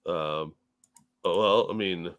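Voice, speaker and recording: Co-host, male, lowish voice, relaxed, swears casually, reads chat aloud. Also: takes it slowly. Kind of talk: casual political commentary chat.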